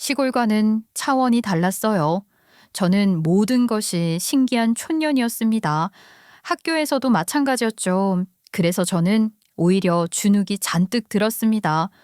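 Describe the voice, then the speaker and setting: A woman's voice: mid-range voice, moderate pace, darkish tone, no room sound. Woman narrating a story in a dry studio. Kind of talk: scripted first-person story narration.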